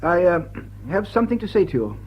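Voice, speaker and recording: An actor playing a flustered, pompous English lord in a radio drama, hesitant and blustering. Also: male voice, high-pitched, very animated, fast talker, dull audio, slight background noise. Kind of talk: classic radio drama acting.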